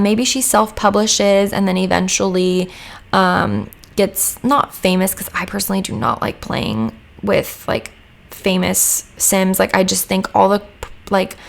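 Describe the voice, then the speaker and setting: A young woman with a hoarse voice, chatting casually as she narrates a home-building game. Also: mid-range voice. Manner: rambling, chatty, lots of "like" fillers. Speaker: Sims speed build creator. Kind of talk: casual voice-over chat. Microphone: home mic voice-over.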